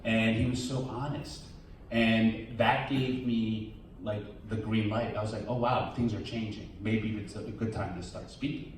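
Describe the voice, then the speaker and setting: A man with a lowish voice talks candidly and casually at a premiere Q&A, often quoting his own thoughts. He is heard from some distance in a very echoey theater with a faint hum of the room behind him. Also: fairly even intonation, measured pace. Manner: candid, casual, quotes himself. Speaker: documentary subject. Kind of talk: premiere Q&A answers. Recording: echoey hall, from far off.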